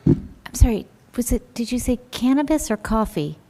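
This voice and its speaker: A woman's voice: fairly high pitch, sing-song, moderate pace, mellow. Woman council member in a reverberant room. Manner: clear and engaged, with asides. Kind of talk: reading a resolution and questioning a speaker.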